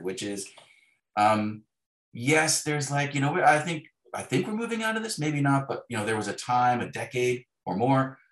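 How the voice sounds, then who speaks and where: A man's voice: medium pitch, a bit bright, reverberant. A middle-aged man speaking as a novelist in an interview, reflective and discursive, with wry self-deprecation.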